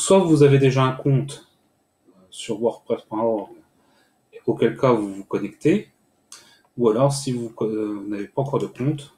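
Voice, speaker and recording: A man walking viewers through a screen-recorded software tutorial step by step, pausing often with filler sounds. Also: medium pitch. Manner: hesitant, many "euh" fillers, step-by-step explaining. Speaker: tutorial host. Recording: echoey room with faint noise.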